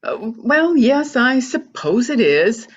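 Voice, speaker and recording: A woman's voice: a little high, very animated, slow, slightly rough, full character voice. A woman playing a wary, polite chemist shopkeeper in an amateur radio drama, hesitant and questioning, on a slightly muffled recording.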